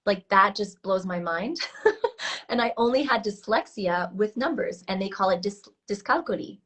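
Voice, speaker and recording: A woman's voice: medium pitch, animated, normal speed, a bit bright. A woman in her thirties from Switzerland with an American accent, a coach talking casually and earnestly in an interview, with frequent fillers and restarts. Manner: earnest, many restarts and fillers, laughs often. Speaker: coach, guest.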